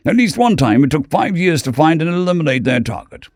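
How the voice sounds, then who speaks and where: A man's voice: on the high side, big pitch swings, a little fast, darkish tone, no echo, studio quality, voice pushed up. A man voicing a stern alien fixer. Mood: urgent. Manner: stern, lecturing. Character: alien male tavern fixer, wary and blunt.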